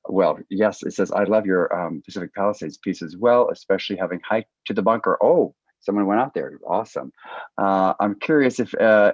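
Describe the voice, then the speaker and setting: A man with a fairly low voice hosts an informal panel discussion. He speaks haltingly and appreciatively. The sound is close and dry but somewhat muffled, as on a video call. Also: no echo. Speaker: event host and moderator.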